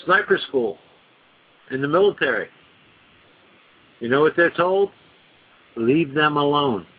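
Guy calling into a radio show, slow and emphatic, thin phone-line sound. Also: a little high, animated, slightly rough. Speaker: caller. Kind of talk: call-in radio talk.

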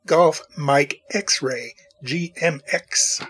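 A man hosting a solo music podcast late at night, with a raspy voice and an opinionated, rambling way of talking. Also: a little high, very slow, a bit bright. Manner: opinionated, rambling, talks to himself. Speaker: podcast host. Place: home recording at night.

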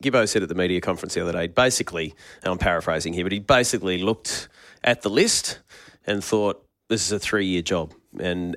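A man's voice: low-pitched, fairly bright tone, no room sound, studio quality. A man asking long, probing interview questions, hesitant as he searches for words.

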